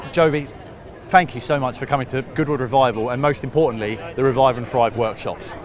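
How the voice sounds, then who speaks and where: A man's voice: medium pitch, fairly quick. A man hosting a vlog at an outdoor event, chatting casually and encouragingly with craftspeople and finishing their sentences. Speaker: vlogger and event workshop host. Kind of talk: casual on-site interviews and vlog talk. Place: outdoors at a busy motor racing event. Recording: outdoor crowd noise. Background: crowd chatter.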